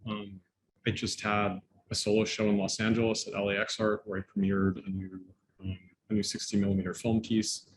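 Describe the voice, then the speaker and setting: A man, an artist from Philadelphia, introducing himself in a brief, relaxed way. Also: lowish voice, fairly quick. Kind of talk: self-introduction. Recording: faint background noise.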